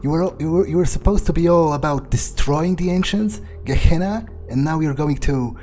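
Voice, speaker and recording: A man's voice: on the high side, normal speed, dark tone, faint music behind. A man roleplaying his character while playing a game, defiant and swearing freely. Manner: defiant, swears, mocking laughs.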